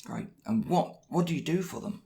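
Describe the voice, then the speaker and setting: A man hosting a podcast, asking a guest a list of questions in a brisk, lightly teasing way. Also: fairly high pitch, animated, vocal fry, mellow. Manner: brisk, scripted intro then questions. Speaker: podcast host. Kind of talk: podcast interview.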